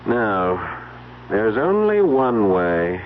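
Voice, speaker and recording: An actor playing a wary, cunning grown son, guarded and conspiratorial in an old-time radio mystery. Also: male voice, fairly low pitch, sing-song, extremely slow, heavily acted. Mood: guarded, scheming. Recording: dull, noisy old radio recording.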